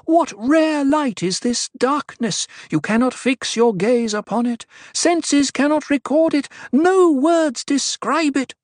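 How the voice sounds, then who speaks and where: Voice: mid-range voice, slow, no echo, clean studio sound, voice pushed up. A narrator voicing a fierce young prophetess in an audiobook, commanding and intoning cryptic pronouncements to a crowd. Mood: rapt, exultant. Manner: commanding, ritual intoning that turns sharp.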